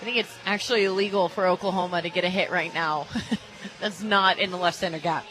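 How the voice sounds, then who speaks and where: American lady doing color commentary on radio, chatty style, bright punchy voice. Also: on the low side, fairly even intonation, fairly quick, poor audio. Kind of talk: conversational sports radio analysis. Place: stadium radio booth.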